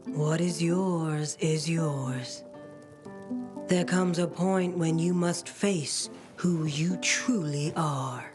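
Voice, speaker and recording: A cryptic seer woman speaking low and unhurried in a hushed, ominous tone. Her voice sits deep for a woman and is backed by clear music, as in a video game cutscene.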